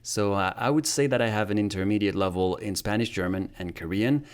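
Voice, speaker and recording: A man from France, a podcast guest giving thoughtful, measured explanations. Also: on the low side, clean tone. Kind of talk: podcast interview.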